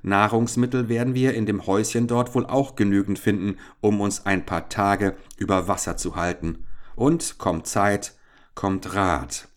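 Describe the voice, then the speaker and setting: A man's voice: on the low side, fairly even intonation, unhurried, natural voice. A man reading aloud and voicing a cool-headed, sardonic teenage boy adventurer in a storybook tale. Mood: confident. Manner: mocking, confident, emphatic.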